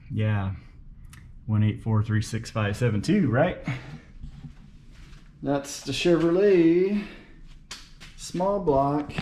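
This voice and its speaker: A man's voice: mid-range voice, darkish tone. A man talking casually to himself as he works on a car, thinking aloud as a home mechanic video host, with noise behind him. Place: working at a car, single uncut recording.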